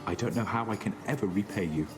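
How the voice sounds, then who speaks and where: A man's voice: on the low side, fast, close to an everyday voice. A man voicing a humble old ex-king shade. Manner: earnest, modest and gentle. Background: game music.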